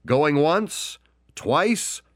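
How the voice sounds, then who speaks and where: A man's voice: a little high, lively intonation, very slow, fairly bright tone, no room sound, studio quality, voice pushed up, full character voice. Auctioneer, male, pushy and persistent, read by a man in a raised voice. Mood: insistent. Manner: calls out bids, insistent. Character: loud insistent male auctioneer.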